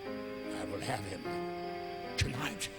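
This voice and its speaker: A man's voice: fairly low pitch, takes it slowly. An actor playing a vengeful Venetian nobleman who narrates a gothic audio drama, theatrical and brooding, seething beneath sly false courtesy. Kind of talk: melodramatic gothic radio drama narration and dialogue. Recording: music under the voice.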